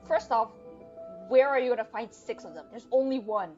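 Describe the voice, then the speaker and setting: A woman's voice: fairly high pitch, moderate pace, a little mellow. A young woman giving casual, joking game commentary as a streamer, on a slightly dull lo-fi microphone. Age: young adult. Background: game music.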